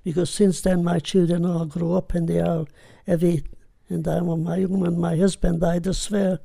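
An older woman from Hungary with a Hungarian accent, recalling her life in an unhurried, reflective way during an oral history interview. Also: fairly low pitch, flat delivery, gravelly, warm tone, slightly rough. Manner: unhurried, reflective, simple phrasing.

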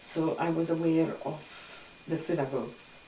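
Older woman telling her life story, calm and matter-of-fact, echoey room, mic kinda far off. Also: low-pitched, a little slow, slight background noise. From Hungary. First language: Hungarian. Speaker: interviewee. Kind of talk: oral history recollection. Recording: roomy echo, distant mic.

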